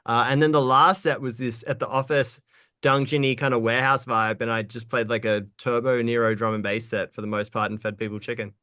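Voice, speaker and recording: A man's voice: mid-range voice, fairly quick, dry recording. Laid-back man, dry close sound. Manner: relaxed, rambling, lots of likes. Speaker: guest musician. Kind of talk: casual interview.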